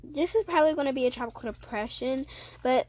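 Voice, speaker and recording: A woman hosting a casual weather update, reading forecast text aloud haltingly and sniffling with a cold. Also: high-pitched, a little mellow. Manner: halting reading with stumbles and self-corrections. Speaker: weather video host.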